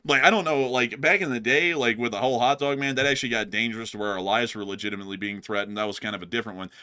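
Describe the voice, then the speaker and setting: A young man co-hosting a casual livestream, chatty and animated, with a lot of "like" and "I mean". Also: mid-range voice. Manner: animated, laughs often, acts out quotes loudly; many fillers like "like" and "I mean". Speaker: co-host of a news channel. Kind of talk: casual livestream chat reading donations.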